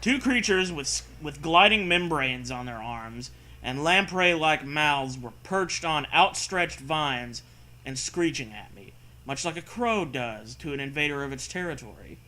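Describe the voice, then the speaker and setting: A man's voice: on the high side, animated, a little slow, sharp tone, slight background noise. A man doing a mocking dramatic reading with casual riffing, sarcastic, profane and prone to hammy emphasis. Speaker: comedy co-host reading fan fiction aloud.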